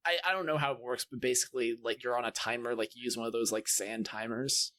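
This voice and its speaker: A man's voice: medium pitch, steady tone, quick pace, bright, forceful tone. A man talking casually and informally as a podcast panelist. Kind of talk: casual gaming chat.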